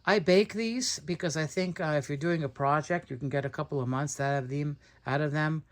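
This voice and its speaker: A man presenting stock in an unscripted unboxing walkthrough, listing items in a halting way as a seller. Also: a little high. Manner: halting, itemizing.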